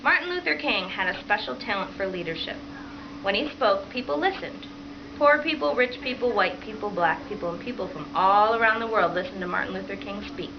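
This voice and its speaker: A woman's voice: medium pitch, lively intonation, a little fast. Woman reading to children, muffled noisy recording. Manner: encouraging, repeats and expands children's answers, frequent checking questions. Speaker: teacher modeling a read-aloud to children. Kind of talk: reading a picture book aloud and asking children questions. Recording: dull, lo-fi home recording with clear background noise.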